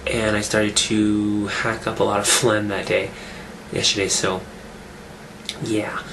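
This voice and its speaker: Man, rough voice from a cold, rambling chat, lowish voice, noisy recording. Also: flat delivery, takes it slowly. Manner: rambling, chatty, restarts sentences. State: getting over a cold, rough voice. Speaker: fitness vlogger. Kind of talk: casual weekly update vlog.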